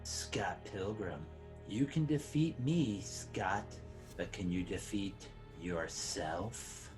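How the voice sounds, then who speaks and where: A man's voice: deep voice, takes it slowly, music in the background. A man playing a sinister, taunting villain in a film table read. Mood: ominous taunting. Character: Gideon, sinister, taunting male villain's echoing voice.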